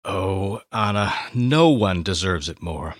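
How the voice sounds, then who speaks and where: A man voicing a gentle, emotional, humble grandfather in a dramatic story reading. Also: low-pitched, animated, slow pace, no echo, studio quality. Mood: tender, moved. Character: tender, humble grandfather devoted to his daughter.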